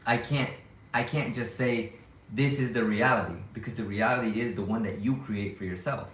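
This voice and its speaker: A man's voice: medium pitch, steady tone, moderate pace, a bit bright, roomy sound, low-quality recording. A young man vlogging to his viewers in a casual, rambling way, often restarting his sentences and second-guessing himself. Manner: rambling, self-questioning, frequent restarts. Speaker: YouTuber living abroad. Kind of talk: casual vlog talk to viewers.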